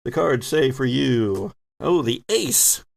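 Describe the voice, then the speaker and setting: A man's voice: mid-range voice, lively intonation, takes it slowly, vocal fry. A man improvising narration as game master of a tabletop horror game.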